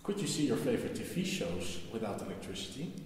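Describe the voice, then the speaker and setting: A man's voice: fairly low pitch, sing-song, fast. A man playing a questioning boy in scripted educational cartoon dialogue, quizzing and prompting as he goes. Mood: challenging. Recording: very echoey room, long reverb.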